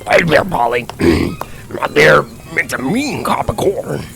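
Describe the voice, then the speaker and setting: A man playing a folksy, ornery old alien regular in a comedy audio drama, with a raspy drawl and a teasing manner. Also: a little high, very animated, a little slow, pushed and bright, a little hiss or hum, heavily acted. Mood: sly, drawling. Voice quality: gravelly, raspy.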